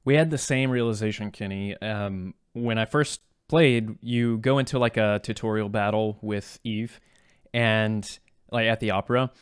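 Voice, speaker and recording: Lowish-voiced man chatting, dry sound. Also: steady tone, measured pace, clean tone, no echo. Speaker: podcast co-host. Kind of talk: casual game review chat.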